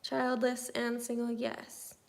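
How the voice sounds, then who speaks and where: A woman's voice: a little high, completely flat delivery, slow, vocal fry. A woman in her thirties chatting easygoingly on a livestream, reading out and replying to viewer comments. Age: 30s. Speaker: livestream host. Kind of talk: casual live chat answering viewer comments.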